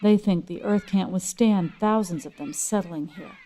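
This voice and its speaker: A woman's voice: mid-range voice, normal speed, mellow, a little hiss or hum, lightly acted. An actress playing an anxious but resigned suburban mother in an audio drama, speaking in an earnest, conversational way. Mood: bitter.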